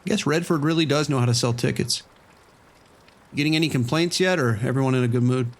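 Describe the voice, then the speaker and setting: Man playing an easygoing mid-pitched casino boss. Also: fast talker, natural voice. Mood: relaxed. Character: easygoing, watchful male casino boss in his office. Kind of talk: scripted horror audio drama radio exchange.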